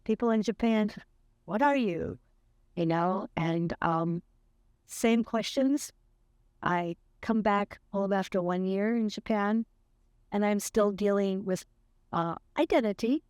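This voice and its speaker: A woman's voice: mid-range voice, darkish tone, clear, resonant tone, no room sound, studio quality. A woman in her seventies from California, earnestly recalling her upbringing in an interview, with many fillers. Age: 70s. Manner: slow, earnest, with fillers and light laughs. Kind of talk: reflective interview.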